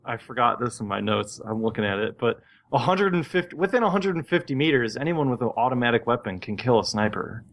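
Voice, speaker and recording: A man's voice: medium pitch, lively intonation, quick pace. A man co-hosting a casual gaming podcast, talking in an opinionated, ranting way. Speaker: podcast co-host.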